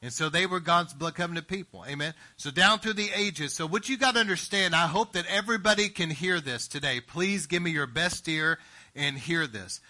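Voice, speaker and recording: Male pastor, bright and forceful tone, slightly high voice, emphatic sermon delivery. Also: moderate pace. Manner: earnest, emphatic preaching with frequent "Amen?" asides.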